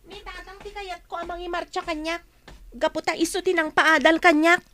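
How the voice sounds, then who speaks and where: A woman's voice: very high voice, steady tone, normal speed, noisy background. A woman playing a resentful, plaintive teenage daughter in a radio drama. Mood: hurt, resentful. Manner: plaintive, reproachful. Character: hurt, resentful teenage daughter of a poor family.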